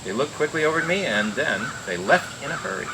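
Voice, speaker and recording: A man playing a world-weary, wisecracking private detective in radio noir style, reading a little haltingly. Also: on the high side, expressive, background noise. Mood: brooding narration. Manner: halting read with stumbles and wry asides. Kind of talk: 1940s-style radio noir acting and narration.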